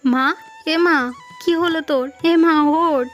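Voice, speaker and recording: A high-voiced, proud middle-aged mother from a cartoon melodrama acted by a woman. She is fiercely protective and emphatic, hammering her words through repetition, with music playing underneath. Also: takes it slowly. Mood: worried. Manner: scolding, emphatic, repeats words for effect.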